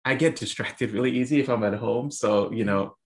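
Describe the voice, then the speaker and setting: A man's voice: medium pitch, fairly quick. A young adult man from the US Virgin Islands, answering interview questions thoughtfully with many fillers and restarts. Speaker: author guest.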